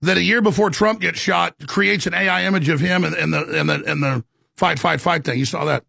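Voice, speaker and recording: A man hosting a talk show, telling stories in an urgent, animated conversational way with a gravelly, raspy voice, often acting out dialogue. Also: on the high side, fairly quick, no room sound, clean studio sound.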